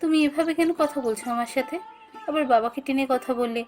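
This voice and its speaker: High-pitched gentle young wife played by a woman. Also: quick pace, natural voice. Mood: concerned, questioning. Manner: plaintive, questioning. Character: gentle, compassionate young wife, landlord's daughter. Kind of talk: cartoon fairy tale dialogue.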